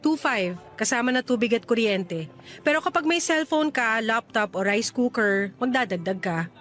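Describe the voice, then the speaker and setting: A woman's voice: fairly high pitch, animated, normal speed, a bit bright, faint music behind. A woman acting a put-upon, plain-spoken grown daughter in a radio drama. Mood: businesslike. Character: put-upon, frustrated single daughter managing mother's rentals.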